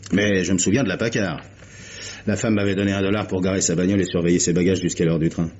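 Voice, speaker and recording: Radio drama night porter: slangy, folksy gossip, low voice, rapid patter, dulled old recording. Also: male voice, steady tone, a little mellow. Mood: helpful, casual. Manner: folksy, hesitant, eager gossip. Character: chatty, slangy male hotel night clerk. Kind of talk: old radio mystery acting. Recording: muffled, hissy vintage radio recording.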